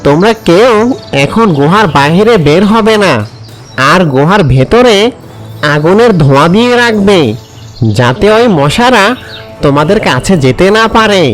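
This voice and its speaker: A man voicing a concerned, authoritative jungle sorcerer in a cartoon, giving deliberate instructions. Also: high voice, expressive, faint music behind. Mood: grave, instructing.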